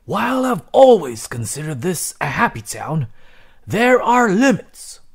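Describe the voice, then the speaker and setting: A man voicing an earnest, preachy small-town pastor from a storybook, declaiming solemnly and deliberately as if to a meeting. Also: high-pitched, breathy edge, voice pushed up, heavily acted. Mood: earnest, exasperated. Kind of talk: storybook character voice.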